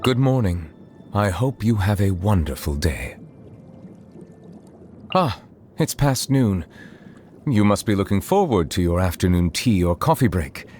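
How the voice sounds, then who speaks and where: A man playing a reserved, eloquent chief judge in a video game, speaking in a formal and measured way. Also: low voice, lively intonation, darkish tone. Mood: courteous, gentle. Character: formal, reserved male chief judge, secretly a water dragon. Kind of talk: scripted game character voice lines. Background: music.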